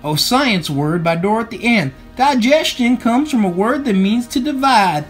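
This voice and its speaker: A man reading aloud to children in an expressive, playful storybook style. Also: extremely high pitch, measured pace, soft background music. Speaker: storybook reader. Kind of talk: animated read-aloud narration for children.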